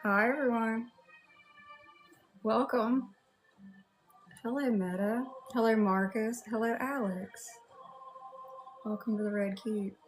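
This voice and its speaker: Unhurried high-voiced Southern US woman livestreamer. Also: fairly even intonation, faint music behind, rough recording. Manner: unhurried, chatty, addressing viewers one by one. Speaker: livestream host. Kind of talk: casual livestream chat, greeting viewers.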